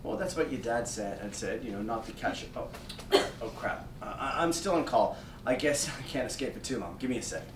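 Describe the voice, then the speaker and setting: Male actor as harried on-call doctor, blunt and halting, stage drama, recorded from afar with clear noise. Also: medium pitch, reverberant, far from the mic, lightly acted. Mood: halting, defensive. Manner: halting, pressing with questions. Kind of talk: staged play reading. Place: theatre stage, indoors. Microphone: far camera.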